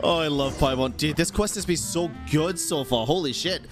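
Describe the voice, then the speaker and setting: A man streaming a video game, reacting in an excitable, emotional way and swearing now and then. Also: a little high, moderate pace. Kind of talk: casual gameplay reactions. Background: game music.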